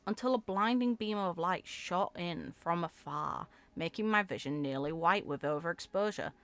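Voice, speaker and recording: A woman reading video game story text aloud in an expressive, theatrical way, as a content creator. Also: lowish voice, moderate pace, dry recording. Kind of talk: reading game lore aloud with reaction asides.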